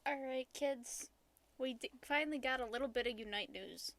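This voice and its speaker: Hesitant woman with a higher voice. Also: normal speed, a bit bright. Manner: hesitant, hedging, many ums and restarts. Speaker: podcast co-host. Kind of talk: casual podcast chat.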